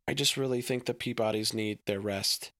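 A man's voice: lowish voice, steady tone, moderate pace, bright, forceful tone, no room sound, studio quality. A man voicing a nervous teenage boy who stammers and hides something. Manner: stammering, jittery.

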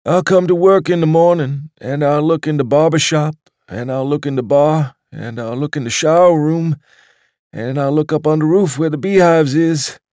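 A man's voice: on the high side, normal speed, darkish tone, no room sound, clean studio sound, pitched above the performer's own voice, natural voice. A man voicing a sweet, doddering old male club waiter, mournful and respectful.

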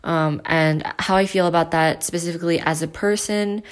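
An eighteen-year-old woman hosting her own casual podcast from home, with a deep, loud voice, rambling with lots of fillers and restarts. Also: steady tone, normal speed. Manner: rambling, filler-heavy, self-interrupting. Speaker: podcast host. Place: at home with family.

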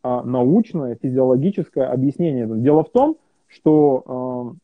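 Interview guest, male, husky voice over a tinny phone-like line, halting delivery full of fillers. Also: mid-range voice, lively intonation, no echo. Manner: hesitant, many fillers and restarts. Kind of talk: casual conversational interview.

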